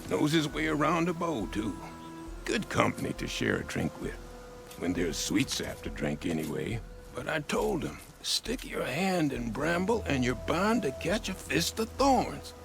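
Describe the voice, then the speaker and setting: A man's voice: a little high, big pitch swings, a little slow, creaky, music in the background, heavily acted. An actor playing a blunt, folksy older man who grumbles about a reckless friend in a game. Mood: fond.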